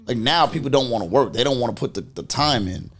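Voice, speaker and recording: A man's voice: mid-range voice, lively intonation, fast, crowd noise. A man on a podcast panel, giving his opinions in informal, conversational language. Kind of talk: casual chat.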